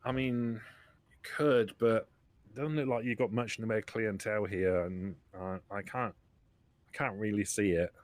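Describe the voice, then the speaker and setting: A man playing an excitable, defensive businessman trader in an improvised tabletop roleplaying game. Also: on the low side, normal speed, no echo, natural voice. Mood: friendly, hesitant.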